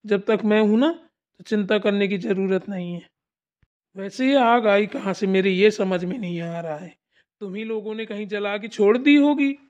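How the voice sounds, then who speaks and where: A steady older village father-in-law played by a performer calmly reassures his crying mother and brushes off the loss. He talks in an even, mid-pitched, soothing voice. The audio sounds dull and lo-fi. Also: normal speed, close to an everyday voice. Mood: reassuring.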